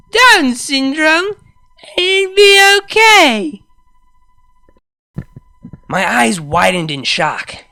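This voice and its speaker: A woman narrates a first-person story expressively. Her voice sits low with a bright, forceful edge, and her intonation moves around a lot. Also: gravelly, dry recording. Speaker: fan fiction reader.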